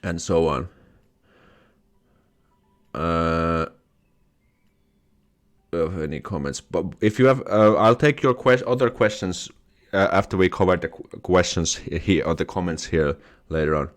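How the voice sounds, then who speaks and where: A man's voice: sits low, lively intonation, measured pace, long pauses. A young man from Finland with a Finnish accent, chatting on a live stream as host, reading comments aloud, laughing things off and restarting words often. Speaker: YouTube host.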